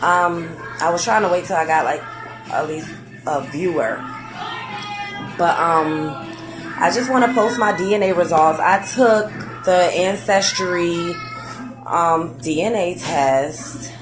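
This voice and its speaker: A young woman, chatting excitedly on a live stream, full of slang and "like"s and "um"s. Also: on the low side, slow pace, music in the background, poor audio. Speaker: vlogger. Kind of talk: casual facebook live chat.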